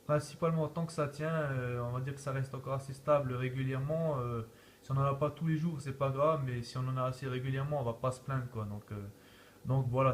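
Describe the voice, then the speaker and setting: A man chats casually as an amateur radio operator, with faint noise in the background. His pitch is ordinary and his phrases often close on short verbal tags. He is from northeast France. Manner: chatty, habitually tags phrases with "là" and "hein". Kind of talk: casual radio contact chat.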